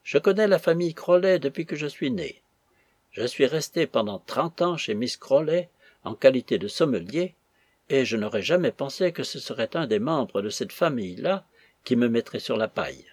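A man's voice: mid-range voice, animated, moderate pace, a little mellow, slightly rough, dry recording. A man voicing a despairing, tearful older butler with a plaintive manner in an audiobook. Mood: grieving.

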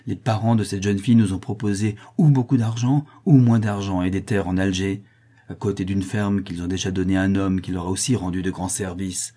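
Audiobook role: tormented decent son, compassionate yet indignant, dark husky voice, done by a male reader. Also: sits low, fairly even intonation, fairly quick. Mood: firm indignation. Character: anguished, honest son of a condemned criminal mother.

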